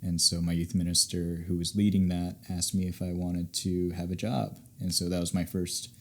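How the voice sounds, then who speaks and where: A man's voice: deep voice, flat delivery, moderate pace. A young American man, a podcast guest, telling stories in an easygoing, rambling way during a casual interview. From United States.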